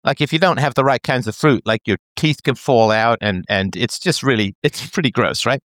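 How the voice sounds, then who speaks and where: A man's voice: mid-range voice, moderate pace, dry recording, clean studio sound. A man in his thirties in a casual chat, explaining an idea playfully as if talking to a child. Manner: animated, playful, talks as if to a child.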